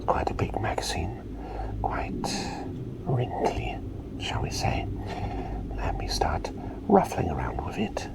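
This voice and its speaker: A man playing a gentle, courteous plague doctor in a whispered ASMR roleplay, breathy and soothing, close to the microphone. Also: wildly swooping pitch, exaggerated voice, rough recording. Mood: soft, attentive. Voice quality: breathy whisper with low gravelly creak. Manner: soothing, unhurried, quaintly polite. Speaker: ASMR performer.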